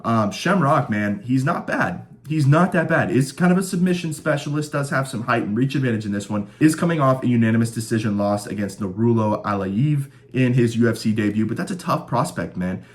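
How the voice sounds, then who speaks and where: A man's voice: medium pitch, animated, a little fast, few pauses. A young man hosting a casual fight prediction show, joking and rambling, slightly stuffed up from a cold. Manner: laid-back, joking, rambling with fillers. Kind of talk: casual sports prediction chat.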